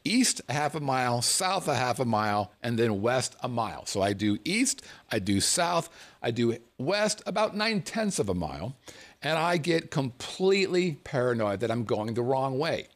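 A middle-aged man from the United States telling a comic personal story, animated and profane, laughing as he goes. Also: mid-range voice, moderate pace.

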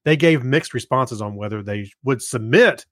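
A man's voice: mid-range voice, expressive, normal speed, no room sound, clean studio sound. A man co-hosting a podcast, reading news and bantering in a loose, jokey, amused manner. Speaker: podcast co-host.

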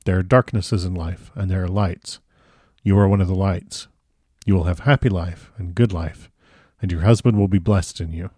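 A man's voice: lowish voice, normal speed, warm tone, dry recording, studio quality, natural voice. A man voicing an earnest old professor in a storybook reading, with formal, effusive, broken foreign phrasing. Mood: grateful, solemn. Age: older.

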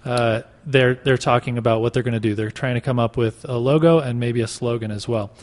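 A man's voice: medium pitch, fairly even intonation, brisk, a little mellow. A man hosting a casual podcast in a wry, joking way. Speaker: podcast host. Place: marble boardroom in a clock tower. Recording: echoey marble room, long reverb.

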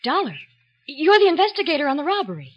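A woman's voice: sits high, big pitch swings, fast talker. A woman playing a deferential young housemaid in a 1950s radio drama, formal and a little flustered. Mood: polite, flustered. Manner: polite, formal. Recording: muffled, very noisy old radio recording.

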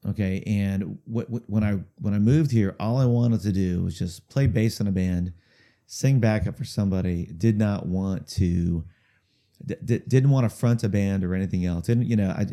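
Guy with a real dark mellow voice, kinda low, rambling musician stories, lots of "you know" and restarts. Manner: halting, repeats words, many fillers and restarts; chuckles through stories. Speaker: musician guest. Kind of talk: casual rambling interview storytelling.

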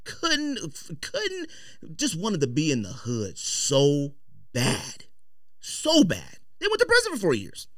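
A man's voice: fairly high pitch, huge pitch swings, takes it slowly, no room sound, studio quality. A man co-hosting a sports podcast, debating casually and emphatically, often repeating words for stress. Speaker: sports and sneaker podcast co-host. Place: podcast studio.

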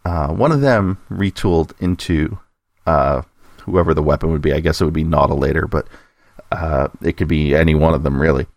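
A man's voice: extremely low pitch, normal speed, no room sound, clean studio sound. A man hosting a podcast segment with informal commentary, speculating and thinking aloud as he talks. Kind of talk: informal toy-news commentary.

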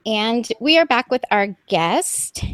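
A woman's voice: a little high, very animated, takes it slowly, fairly bright tone, dry recording. Woman hosting a podcast, dry sound. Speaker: podcast host.

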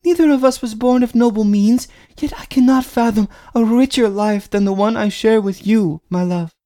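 A man putting on a fervent young wife's high voice. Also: normal speed, warm tone, natural voice. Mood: pleading. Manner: earnest, pleading. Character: devoted pregnant young wife, earnest and fervent.

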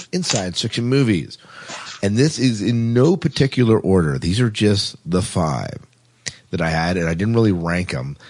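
Male podcast co-host, lower-pitched voice, informal and conversational delivery. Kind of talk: casual podcast talk.

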